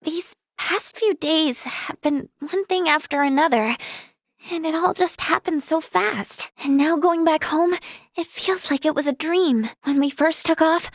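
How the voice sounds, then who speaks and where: An earnest young scholar and healer from a video game. The voice is very high and girlish, with expressive, bubbly delivery. The sound is dry and free of room echo. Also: a little slow. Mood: thoughtful.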